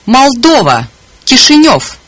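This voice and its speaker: A woman speaking single words slowly and deliberately as a model for learners. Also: high voice, huge pitch swings, no echo. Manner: deliberate, articulated word by word. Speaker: native speaker on a language course recording. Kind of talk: slow, careful model pronunciation.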